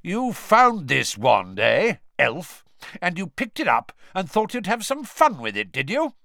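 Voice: mid-range voice, wildly swooping pitch, measured pace, creaky, a bit bright, no echo, studio quality, voice pushed up, full character voice. A reader playing a blustering wizard official. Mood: accusing. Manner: accusing, roaring, then flustered. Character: blustering middle-aged male ministry wizard.

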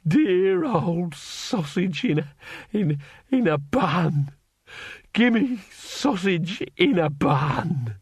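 A man's voice: a little high, lively intonation, creaky, dry recording, studio quality, exaggerated voice. A man doing a wild, half-mad bearded wizard. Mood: sobbing. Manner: growling, then slow bawling.

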